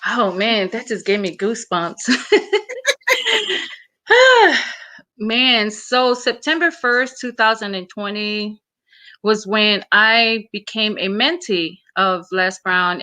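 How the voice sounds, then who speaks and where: A woman's voice: medium pitch, bright, forceful tone. A woman from Samoa, whose first language is Samoan, speaking as an interview guest in an earnest, emphatic way, often repeating her words. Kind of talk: casual interview.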